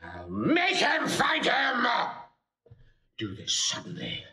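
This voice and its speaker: An actor playing a suspicious usurping duke who barks commanding orders, in classical stage style. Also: male voice, extremely high pitch, huge pitch swings, slow pace, pushed and bright, roomy sound, shouting, exaggerated voice. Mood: angry. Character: angry usurping male duke, suspicious tyrant.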